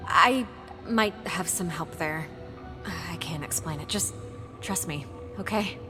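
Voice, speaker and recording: A woman's voice: on the low side, slow, over music. A woman playing an earnest, shaken scientist hero in a video game, with a sincere delivery. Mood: urgent, anxious. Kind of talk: video game cutscene acting.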